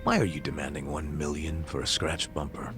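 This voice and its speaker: An actor voicing a blustering, slangy middle-aged ex-cop who bluffs as a rich benefactor in a video game. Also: male voice, rumbling low, fairly even intonation, brisk, over music. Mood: firm, confronting. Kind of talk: video game cutscene dialogue.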